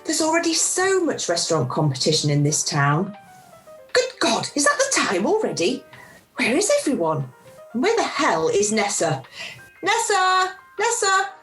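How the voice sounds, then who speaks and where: A woman's voice: on the high side, fairly bright tone. A woman acting a chatty, cheeky British deli owner in a radio play, theatrical and jokey, recorded over a video call. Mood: brisk, bossy.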